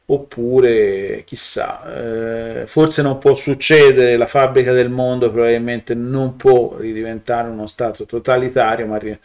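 A man's voice: mid-range voice, darkish tone. Hesitant man musing to camera. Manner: hesitant, many fillers and restarts, thoughtful. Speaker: video blogger. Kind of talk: reflective commentary to camera.

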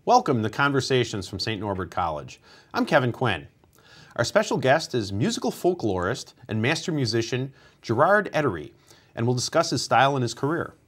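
Lively middle-aged TV show host. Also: male voice, mid-range voice. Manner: polished introductions, friendly questions. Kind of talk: formal program host. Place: college TV studio. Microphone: lapel mic.

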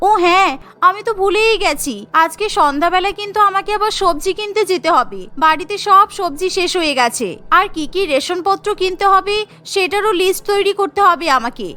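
A woman voicing a cheerful, stubborn young housewife in a cartoon story, chattering briskly and insistently. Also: very high voice, animated, sharp tone, full character voice. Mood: apologetic, insistent. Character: busy, cheerful, stubborn young Bengali housewife and daughter-in-law.

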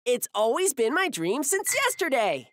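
A woman voicing an eager, dramatic little kid character in a cartoon, excitable and exclamatory. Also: high-pitched, moderate pace, a little hiss or hum, full character voice. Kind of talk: animated cartoon voice acting. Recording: loud music behind the voice.

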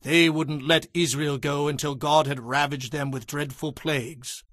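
An actor playing a solemn, commanding religious leader of ancient times in a dramatized audio Bible, earnestly exhorting. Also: male voice, on the high side, fairly even intonation, measured pace, fairly bright tone, no echo, clean studio sound. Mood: solemn warning.

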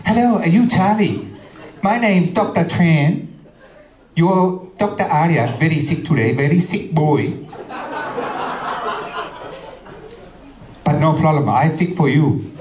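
A brisk, fussy little doctor with broken English and a high, clipped voice, put on by a man. The voice sits well above the comic's own and rings in a roomy comedy club. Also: darkish tone, close to an everyday voice. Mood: chirpy.

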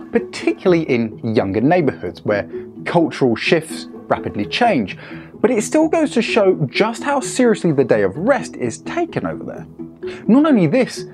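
A young British man hosting a scripted explainer, talking in a playful, emphatic way with wry asides, on a lapel mic in a studio. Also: mid-range voice. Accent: British English. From United Kingdom. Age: young adult. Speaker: host. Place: studio in front of a screen. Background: background music.

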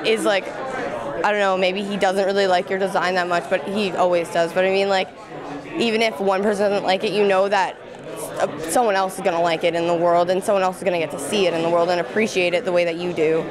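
A woman's voice: medium pitch, little pitch movement, fast talker. A young adult woman giving a casual interview in informal, youthful speech peppered with "like". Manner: heavy use of "like", informal youthful talk. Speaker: student alumni interviewee. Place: busy indoor school event room. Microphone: handheld interview microphone. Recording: busy crowd chatter behind.

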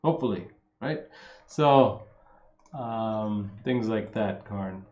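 A man in his thirties from Los Angeles with a slight Armenian accent, a fitness coach answering viewer questions in a relaxed, rambling live chat. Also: lowish voice, slow, reverberant, highs cut off. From Los Angeles, USA. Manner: relaxed and rambling, with many fillers and swearing. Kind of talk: casual live-stream Q&A.

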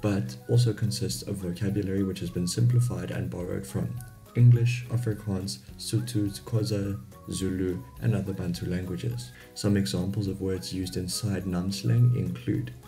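South african guy in his 20s, low voice, chatty explaining, faint music behind. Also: steady tone, normal speed. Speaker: YouTube host. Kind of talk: informal explainer vlog about languages. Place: small home bedroom.

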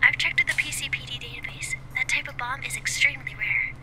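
An actress playing a brisk, efficient police dispatcher in an audio drama, formal and businesslike. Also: female voice, sits high, close to an everyday voice. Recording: loud noise over a call.

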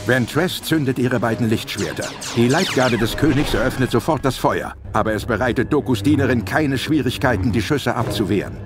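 Male storyteller, mid-pitched, dramatic scripted narration, clear musical score behind. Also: fairly quick, hardly any pauses, over music.

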